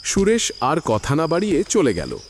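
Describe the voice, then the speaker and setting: Expressive male narrator. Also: fairly high pitch, normal speed, a little hiss or hum. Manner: expressive storytelling. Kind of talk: scripted narration.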